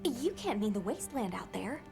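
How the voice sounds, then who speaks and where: A woman's voice: on the high side, big pitch swings, a little fast, gravelly, music in the background. A woman playing a composed, courteous young field guide in a video game, speaking politely and instructively. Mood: polite, warm. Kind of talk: video game cutscene acting.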